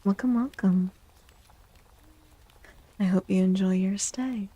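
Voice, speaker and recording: A woman hosting a soft-spoken ASMR livestream, hushed and breathy, often slipping into whispers and gently repeating phrases. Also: medium pitch, takes it slowly, no room sound. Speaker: livestream host, tarot reader. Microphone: close microphone in a dry space.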